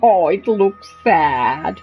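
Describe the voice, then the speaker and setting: Slow-paced woman game streamer, dull audio. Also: fairly low pitch, lively intonation. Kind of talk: casual live reaction to a game. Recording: dull lo-fi mic over game music.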